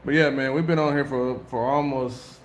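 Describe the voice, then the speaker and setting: A man's voice: mid-range voice, fairly even intonation, normal speed. American guy, livestream host, loose slangy chat, echoey room, faint hiss. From United States. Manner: slangy, laid-back, laughs often.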